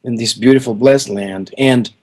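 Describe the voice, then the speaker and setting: A man's voice: medium pitch, moderate pace, darkish tone, breathy edge. Earnest man. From Venezuela. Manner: earnest, emphatic, repeats words for stress. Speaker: guest musician. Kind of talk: heartfelt interview answers.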